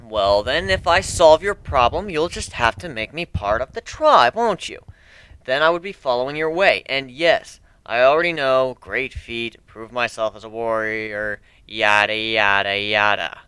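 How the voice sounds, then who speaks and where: A man's voice: medium pitch, animated, unhurried, sharp tone, smooth, dry recording, rough recording. A man voicing a brash, cocky griffin adventurer with a blunt, sardonic way of talking, in a fantasy story reading.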